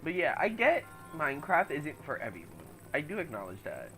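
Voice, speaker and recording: A young man casually chatting while streaming a game from home, reacting out loud to the game and to chat. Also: fairly high pitch, wildly swooping pitch, fairly quick, music in the background, poor audio. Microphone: laptop microphone.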